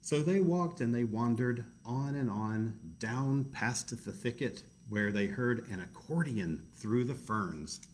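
A man's voice: medium pitch, animated, slow, hardly any pauses, a little hiss or hum. A man reading a picture book aloud to children in a casual, chatty way, with self-deprecating asides. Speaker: library storytime reader.

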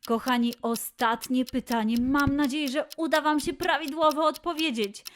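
A woman's voice: high voice, fairly even intonation, normal speed. A woman hosting a children's quiz show in a playful, scripted way, encouraging her young listeners.

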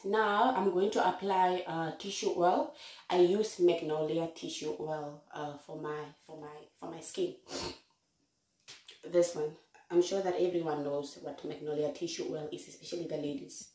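A young woman from Namibia vlogging at home, talking softly and casually into a close phone camera, her voice deep and rough from a flu. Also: a little slow. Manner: soft-spoken, often near a whisper, relaxed. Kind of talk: casual vlog chat. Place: bedroom indoors.

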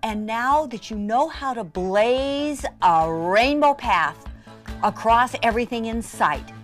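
A woman presenting a craft demonstration in an excitable, gushing way, with emphatic drops into a breathy near-whisper. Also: on the high side, slow, faint music behind.

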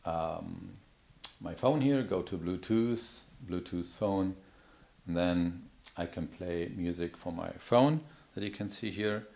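A man with a low voice walks through a product demonstration. He speaks unscripted, in a hesitant, explanatory manner, as a presenter showing how something works. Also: slow, creaky, darkish tone. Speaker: product demonstrator, account manager. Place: indoor office or showroom.

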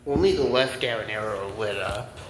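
A man's voice: lowish voice, moderate pace. Casual man filming echoey elevator rides. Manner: offhand, excitable, swears casually. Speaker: elevator fan vlogger. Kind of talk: casual handheld commentary. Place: parking garage elevators and decks, indoors and out. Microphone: handheld camera. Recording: echoey with loud background noise.

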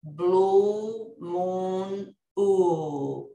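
Very slow Bosnian-speaking woman learner, echoey room. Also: lowish voice, warm tone. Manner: careful, hesitant repetition. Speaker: learner repeating drills. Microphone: webcam on a video call. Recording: echoey room on a video call.

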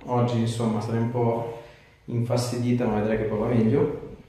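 A man's voice: medium pitch, monotone, normal speed, mellow. A man in his thirties, a chiropractor giving short hands-on instructions and chatting casually with a patient. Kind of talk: calm hands-on treatment instructions with casual chat. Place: small tiled treatment room, indoors. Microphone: small wireless clip-on mic on chest. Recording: echoey room, distant, some noise.

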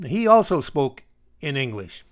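A man with a slightly high voice presents a software tutorial. He explains each step in a measured, instructional way, as screencast narration. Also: very animated.

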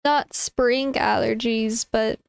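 A woman's voice: fairly high pitch, steady tone, takes it slowly, creaky, clear, resonant tone, no room sound. A young woman streaming, chatting casually and greeting viewers one by one, her voice stuffy and congested from a blocked nose, tripping over her words now and then.

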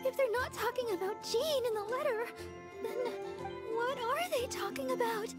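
A woman voicing a sweet, gentle young idol in a game cutscene, soft and high-voiced, timid and stammering. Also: normal speed, loud music behind the voice. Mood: anxious.

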